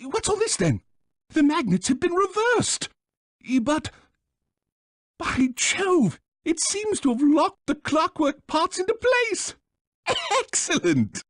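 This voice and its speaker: Scheming little bird villain, British accent, pompous, theatrical, wildly swooping pitch, dry studio sound, video game. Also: male voice, huge pitch swings, slow pace, no echo, exaggerated voice. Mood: surprised, delighted. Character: pompous, scheming, flightless male bird villain, small and elderly.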